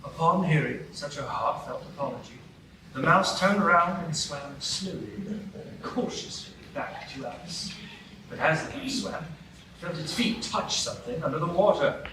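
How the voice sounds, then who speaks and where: A man narrating a storybook tale in a live radio play, with a gentle storytelling manner. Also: a little high, lively intonation, normal speed. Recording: roomy echo from far off, light noise.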